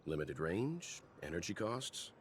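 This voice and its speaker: An actor, a man, playing a dry, unimpressed skeptic in a fantasy video game, with a deadpan delivery. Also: sits low, measured pace, a little hiss or hum. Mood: dry skepticism.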